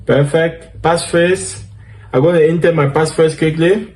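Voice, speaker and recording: A man speaking English with a French accent, his voice sitting a little high for a man. He talks a tech tutorial through step by step, with plenty of fillers and quick self-corrections. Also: fairly even intonation, a little mellow. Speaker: DevOps instructor. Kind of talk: screen-share tutorial walkthrough.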